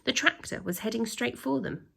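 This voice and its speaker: A woman reading a children's story aloud like a teacher, with expressive, drawn-out emphasis and acted sound effects. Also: fairly high pitch, vocal fry, husky.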